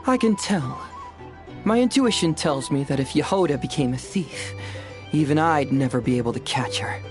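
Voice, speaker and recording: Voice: mid-range voice, lively intonation, moderate pace, over music, close to an everyday voice. An actor voicing a cheerful, curious detective in an anime-style video game, chatty and playful. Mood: chatty, explaining. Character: cheerful, curious detective pursuing an Inazuman fugitive.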